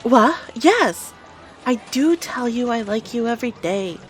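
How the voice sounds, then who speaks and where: A woman's voice: on the high side, animated, measured pace, soft background music. Woman acting as a playful gamer girl on a date, casual and teasing, kinda blunt, takes her time. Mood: excited. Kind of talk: audio roleplay acting.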